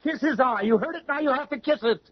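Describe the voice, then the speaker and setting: A man's voice: extremely high pitch, fast. An actor playing a servile, anxious young man in a radio drama, pleading and insistent. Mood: pleading, fixated. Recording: dull vintage radio recording.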